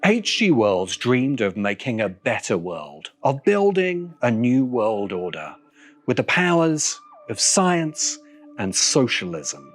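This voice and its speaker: A man delivering scripted video essay narration in an emphatic manner, pausing deliberately for effect. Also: medium pitch, very animated. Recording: music behind the voice. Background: music.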